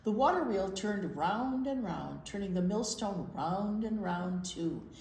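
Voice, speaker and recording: Storytime lady, slow and super expressive, reading to little kids in an echoey room. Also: medium pitch. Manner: explains simply, asks young listeners questions. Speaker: children's library storytime reader. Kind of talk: expressive picture-book read-aloud with asides to children. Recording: roomy echo with clear background noise.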